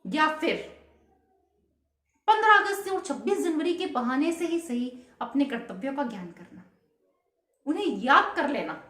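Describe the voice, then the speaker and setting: A woman's voice: high-pitched, very animated, normal speed, long pauses, fairly bright tone, reverberant. A woman vlogger giving an earnest patriotic speech to camera, asking many rhetorical questions.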